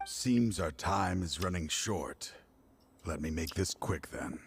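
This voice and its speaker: An actor playing a grieving older man, once a partner of the hero, who speaks in a measured, weary and somber way in a game cutscene. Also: sits low, vocal fry, a little hiss or hum, exaggerated voice. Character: grieving older male ex-partner turned foe.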